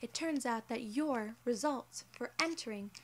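A woman in her twenties performing an ASMR roleplay in a measured, deliberate and formally worded way, close to the mic. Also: on the high side, a little hiss or hum. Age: 20s. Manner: measured, deliberate, formal phrasing as an alien gatekeeper. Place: small indoor room, seated in an armchair.

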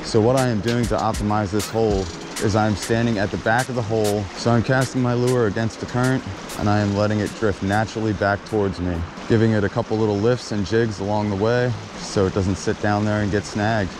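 A man's voice: on the low side, fairly even intonation, fairly quick, noisy background. A man giving chatty, upbeat running commentary to viewers while fishing by a creek outdoors. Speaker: fishing vlogger. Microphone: body-worn action camera.

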